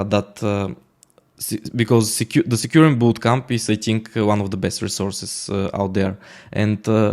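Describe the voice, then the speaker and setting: A man's voice: on the low side, a little mellow. A man from Bulgaria, a Bulgarian native speaker talking in English, interviewed as a guest, speaking casually with many fillers and restarts. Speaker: guest, smart contract auditor.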